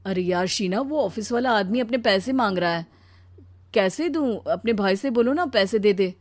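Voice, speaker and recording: A woman's voice: medium pitch, lively intonation, normal speed, lightly acted. A woman voicing a weary, pleading husband worn down by his burdens in a dramatised story. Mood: fed up. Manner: pleading, exasperated. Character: weary, overburdened husband, anguished office worker. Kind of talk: dramatised Hindi audio story.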